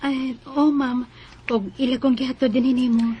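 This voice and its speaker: An actress playing an earnest, eager nineteen-year-old working student with a Cebuano accent in a radio drama. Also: female voice, sits high, flat delivery, normal speed, mellow, breathy edge. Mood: polite. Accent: Cebuano Filipino. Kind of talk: Filipino radio drama acting and narration.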